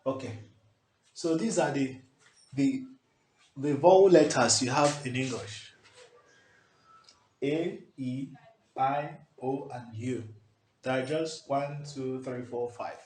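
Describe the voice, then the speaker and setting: A man's voice: mid-range voice, slow pace, pauses often, a little mellow. A man, teaching a language lesson at a whiteboard, emphatic and checking in with "okay?". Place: small indoor room before a whiteboard. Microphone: camera at close range. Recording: echoey room, faint background hiss.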